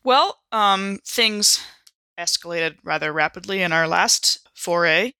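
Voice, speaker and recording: A woman's voice: on the low side, slow pace, pushed and bright, clear, resonant tone, dry recording. A woman improvising in character as a player in a tabletop roleplay podcast, wry and wordy with hesitant turns. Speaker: player in an actual-play audio drama podcast.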